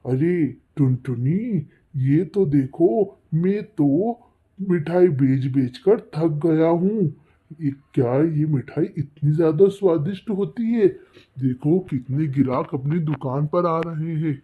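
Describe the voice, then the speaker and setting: Casting: man as anxious cartoon bird husband, highish male voice, plaintive and emphatic, tinny narrow-band recording. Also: lively intonation, slow pace, slightly rough, full character voice. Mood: amazed. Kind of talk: children's cartoon story voice acting. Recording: thin phone-like band-limited sound.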